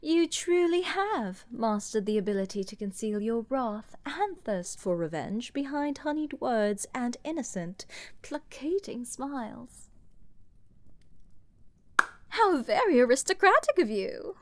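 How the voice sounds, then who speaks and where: A woman playing an arrogant, flirty princess with a dark, mocking edge in a dramatic fantasy ASMR roleplay, teasing and often murmuring. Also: a little high, sing-song. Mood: sarcastic, teasing. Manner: haughty, teasing, deliberate, often dropping to breathy murmurs.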